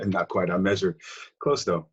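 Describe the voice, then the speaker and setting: A man playing a cocky, flippant, crude young man in a comedic script read over a video call, with a husky voice. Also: low-pitched, animated, measured pace, exaggerated voice. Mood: teasing. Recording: dull lo-fi video-call audio.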